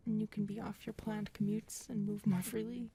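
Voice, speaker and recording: A woman voicing an affectionate, playful alien lover in an ASMR roleplay, speaking softly and intimately and often dropping into breathy whispers. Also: medium pitch, flat delivery, normal speed, slight background noise, close to an everyday voice. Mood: tender, sleepy. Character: affectionate, playful adult female alien lover, tall otherworldly species.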